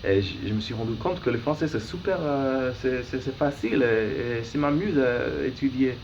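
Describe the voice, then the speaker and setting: A young man in his early twenties from the United States, a native English speaker with an American accent, talking casually and haltingly as a language learner answering questions he reads to himself. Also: medium pitch. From United States, raised in Florida. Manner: halting, searching for words. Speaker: language learner making an accent tag video. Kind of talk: casual self-interview to camera. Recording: muffled lo-fi home recording with noise.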